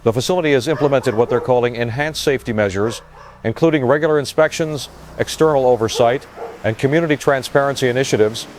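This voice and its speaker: Male field correspondent, played by an actor: matter-of-fact live report, slight hesitations, average pitch. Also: quick pace, slight background noise, close to an everyday voice. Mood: calm, matter-of-fact.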